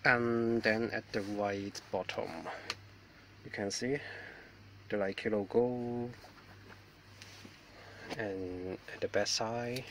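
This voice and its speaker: A man's voice: fairly low pitch, fairly even intonation, drawn-out words, long pauses, a bit bright. A man with a Hong Kong accent, unboxing a product in a casual vlog, hesitant and apologetic with many ums, recorded on a mobile phone. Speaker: vlogger. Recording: distant phone mic with faint noise.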